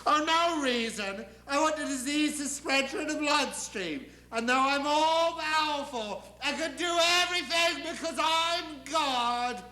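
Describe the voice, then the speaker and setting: A man's voice: very high-pitched, measured pace, few pauses, sharp tone, pitched above the performer's own voice, exaggerated voice. A man voicing a petulant, vindictive, whiny God who blusters imperiously, in a satirical stage monologue. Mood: petulant, indignant. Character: petulant, bellowing, vindictive God, male, lazily all-powerful. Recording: echoey room.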